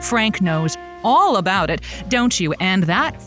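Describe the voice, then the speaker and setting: Breezy encouraging late night radio host, flirty patter, music playing under it, comedy podcast. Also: female voice, medium pitch, big pitch swings, a little slow, a bit bright. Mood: flirty upbeat. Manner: playful, breezy.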